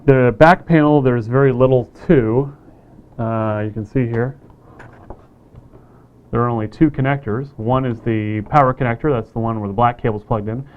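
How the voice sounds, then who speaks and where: A man casually demonstrating a radio kit, plain-spoken and relaxed, with frequent fillers. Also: medium pitch, normal speed, phone-quality sound. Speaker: company staff demonstrating a kit.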